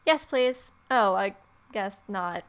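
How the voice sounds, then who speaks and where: A young adult woman hosting a let's play, reading visual novel narration aloud in an irreverent, expressive way. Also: mid-range voice, takes it slowly, clear, resonant tone. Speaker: gaming video host.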